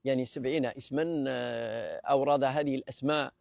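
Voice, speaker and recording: A man's voice: mid-range voice, steady tone, gravelly, darkish tone, no echo, studio quality. A man from Algeria giving an unscripted lecture, explanatory and hesitant, with many fillers and restarts. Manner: measured, explanatory. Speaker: presenter of a language talk series.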